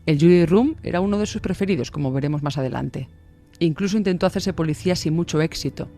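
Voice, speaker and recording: A man's voice: on the high side, quick pace, mellow. A man with a Castilian Spanish accent reading a scripted true-crime radio narration. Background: music bed.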